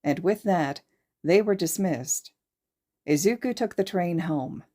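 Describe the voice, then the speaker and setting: A woman's voice: fairly low pitch, slow pace, dark tone. A woman reading a story aloud as a podfic narrator, in scripted narration. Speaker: podfic reader and host.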